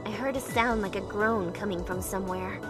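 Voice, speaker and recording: A woman playing an anxious, curious young woman who narrates her own story in a measured, introspective way for a visual novel fan dub. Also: medium pitch, steady tone, natural voice. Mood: musing. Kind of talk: first-person scripted narration. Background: music.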